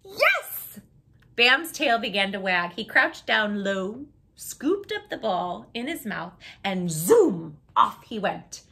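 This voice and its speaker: A woman's voice: mid-range voice, very animated, slow, creaky, few pauses, pushed and bright. A woman hosting a children's read-aloud, narrating a storybook expressively and putting questions to her young listeners.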